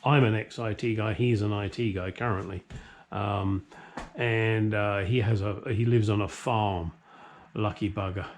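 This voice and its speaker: A man's voice: fairly low pitch, steady tone, measured pace. Jokey British man, casual and rambling. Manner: jokey, self-deprecating, blunt with mild swearing. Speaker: host of a classic car workshop vlog. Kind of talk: casual, rambling talk to camera. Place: home workshop.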